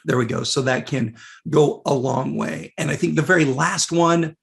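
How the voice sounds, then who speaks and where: A man with a raspy voice, losing it and clearing his throat, casually presenting a live software webinar with many fillers. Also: on the high side, normal speed. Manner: casual, many fillers, reads out viewer questions.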